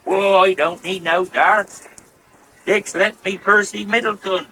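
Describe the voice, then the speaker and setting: An actor playing a slow, grumbling, naive old farmhand with a gravelly voice and a rural West Country accent in a radio soap. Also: male voice, high voice, fairly even intonation, sharp tone, heavily acted. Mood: puzzled. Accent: English West Country rural. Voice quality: creaky, gravelly. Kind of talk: 1980s radio soap acting. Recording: hissy 1980s radio broadcast recording.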